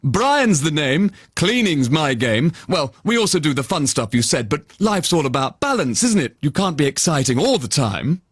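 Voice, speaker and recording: A man voicing a kindly, calm unicorn who cleans houses in a children's bedtime story. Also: on the high side, moderate pace, hardly any pauses, husky, dry recording, studio quality. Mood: kindly, chatty.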